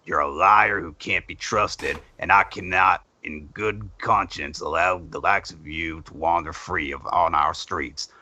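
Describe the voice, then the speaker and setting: A man's voice: low voice, unhurried, hardly any pauses, pushed and bright, heavily acted. A man playing a stern, scornful judge who delivers his pronouncements pompously, in a dull home recording. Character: stern, scornful male judge passing sentence.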